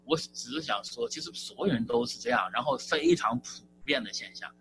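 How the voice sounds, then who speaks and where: A man preaching a sermon in a church, in a lively, conversational style with frequent fillers. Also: medium pitch, a little fast, over music, rough recording.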